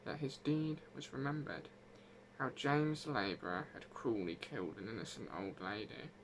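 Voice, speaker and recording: A man voicing the ghost of a gentle, wronged herbwoman in her eighties, telling a folk tale in an unhurried, wry way. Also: medium pitch, slight background noise, lightly acted, low-quality recording. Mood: mournful, reproachful. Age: 80s. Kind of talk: first-person folk tale narration.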